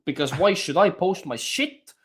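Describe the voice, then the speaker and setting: A man's voice: sits high, big pitch swings, normal speed, fairly bright tone. A young man, hosting a livestream and telling his story in frank, casual talk that swears freely. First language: Estonian. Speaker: livestream host.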